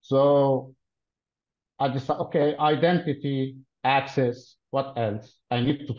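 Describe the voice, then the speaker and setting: A man in his thirties from Lebanon, answering interview questions in a deliberate, explanatory way with frequent pauses, heard over a video call. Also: medium pitch, steady tone. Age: 30s. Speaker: interview guest, cloud solutions architect. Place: plain-walled room, indoors. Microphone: video call webcam. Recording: dull lo-fi video call audio.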